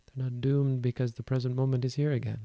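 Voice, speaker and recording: A man with a quiet voice giving a calm, slow Buddhist talk to an online audience. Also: medium pitch, creaky, dry recording. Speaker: Buddhist teacher. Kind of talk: dharma talk.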